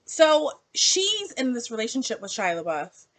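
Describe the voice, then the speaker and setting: A woman in her late twenties talks straight to camera in an opinion vlog. Her voice is bright and a bit high, and it leaps up and down in pitch. She is emphatic and peppers everything with "like.". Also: measured pace. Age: 20s. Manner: animated, emphatic, heavy use of "like". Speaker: book vlogger.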